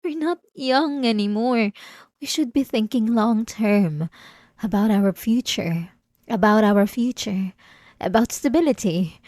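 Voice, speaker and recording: Slow, high-voiced possessive wife, acted by a woman. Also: a little mellow, no room sound, clean studio sound, natural voice. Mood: weary, sweet. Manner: halting, repeating words, coaxing and manipulative. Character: obsessive, possessive, delusional adult wife, sweetly menacing. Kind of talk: intimate ASMR roleplay monologue.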